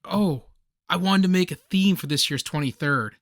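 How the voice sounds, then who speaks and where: A male actor playing an excitable, nostalgic, nerdy man in a radio comedy play, with theatrical, geeky banter. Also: high voice, moderate pace, no echo, clean studio sound. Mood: playful banter.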